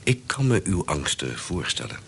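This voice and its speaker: A man's voice: low-pitched, big pitch swings, moderate pace, fairly bright tone, heavily acted. An actor playing a suave, evasive nobleman, courtly and sometimes hesitant, in a Dutch radio play. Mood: seductive, courtly. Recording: slightly noisy radio play recording.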